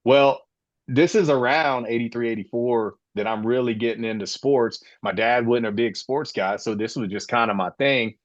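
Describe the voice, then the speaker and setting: A man's voice: medium pitch, moderate pace, no room sound, highs cut off. A man in his late forties from Florida, hosting a casual sports podcast and telling his own story with passion. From Florida, USA. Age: 40s. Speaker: podcast host.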